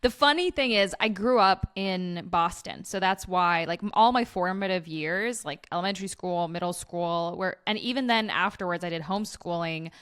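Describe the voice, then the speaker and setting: Low-voiced candid woman guest. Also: moderate pace, hardly any pauses, fairly bright tone, clean tone, dry recording, clean studio sound. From eastern Ukraine. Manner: candid, laughs often while talking. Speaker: recruiting agency CEO, guest. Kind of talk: podcast interview.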